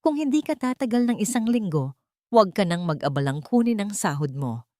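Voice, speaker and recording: A woman voicing a weary, cold and authoritative widowed father, speaking quietly in a story. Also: medium pitch, sing-song, a little fast, no echo, clean studio sound, lightly acted. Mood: stern weary. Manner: quiet, tired, commanding.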